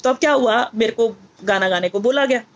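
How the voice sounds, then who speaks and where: A woman's voice: a little high, fairly quick, muffled recording. A middle-aged woman with a North Indian accent giving a motivational lesson in mixed Hindi and English, emphatic and repetitive, often asking rhetorical questions. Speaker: teacher and motivational speaker.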